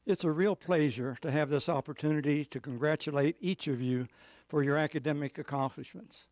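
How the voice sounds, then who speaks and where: A man's voice: on the high side, a little fast, darkish tone, no room sound. An older man from a state board giving short ceremonial remarks into a handheld mic, with dry humor. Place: plain indoor room.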